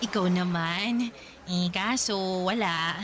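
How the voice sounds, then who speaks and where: A woman's voice: medium pitch, takes it slowly, lightly acted. An actress playing a friendly, bashful young single woman in a radio drama, with a Filipino accent and chatty, playful delivery. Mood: coy, friendly. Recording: noisy background ambience.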